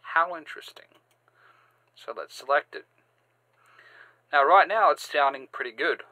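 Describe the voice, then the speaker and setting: A man hosting a step-by-step software tutorial, halting and sometimes repeating or correcting himself. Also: on the high side, no room sound. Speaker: tutorial host. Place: indoors, screen-recording voice-over.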